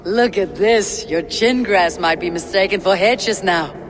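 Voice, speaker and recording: A bold Viking warrior leader played by a woman, wry and measured, in a video game cutscene. The plainspoken voice comes with clear ambient noise behind it. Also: medium pitch, natural voice. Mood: teasing. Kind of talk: dramatic video game acting.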